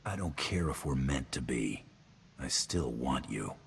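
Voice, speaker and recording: An actor voicing a gruff, laconic male gunslinger in a space video game, dry and flirtatious. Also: a bass voice, lively intonation, a little slow, slight background noise, full character voice. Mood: earnest, wanting.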